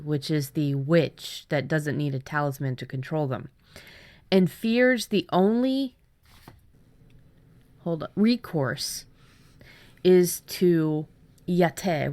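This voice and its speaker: A woman's voice: lowish voice, a little mellow. A woman in her thirties co-hosting a casual writing chat, sharing story ideas in a playful, joking way. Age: 30s. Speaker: writer and co-host.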